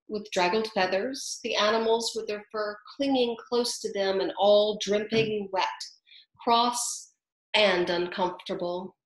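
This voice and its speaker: A woman reading a storybook aloud in an unhurried, chatty way, pausing to comment. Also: mid-range voice. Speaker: reader. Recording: echoey room.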